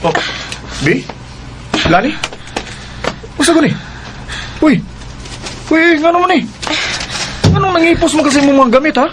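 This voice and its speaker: An actor with a Cebuano Filipino accent playing a fickle, smooth-talking boyfriend in an emotive, exclamatory radio drama style. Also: male voice, very high voice, very animated, a little slow, pauses often, noisy background, exaggerated voice. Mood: shocked, hurt. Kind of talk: Philippine radio drama acting. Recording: music bed behind the voice.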